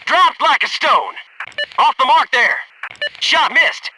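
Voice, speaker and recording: Young army sniper buddy for a game, really high for a man, pitch jumps around, clipped eager callouts. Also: big pitch swings, takes it slowly, background noise, exaggerated voice. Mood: dry, disappointed. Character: young male military sniper-spotter, cocky, keen, loyal squadmate. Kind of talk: video game combat voice lines, short radio callouts.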